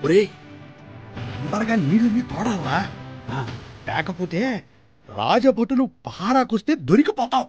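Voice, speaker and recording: Nervous male thief, eager fool, high animated voice, cartoon, over clear music. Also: measured pace, pauses often, highs cut off. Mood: anxious. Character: anxious, eager, foolish male thief accomplice. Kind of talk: animated children's story acting. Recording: loud music under the voice.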